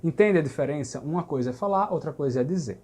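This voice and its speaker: A man's voice: on the high side, lively intonation, fast talker, a little mellow. A man teaching a lesson, explaining patiently and checking understanding as he goes. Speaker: language teacher. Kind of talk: recorded language lesson.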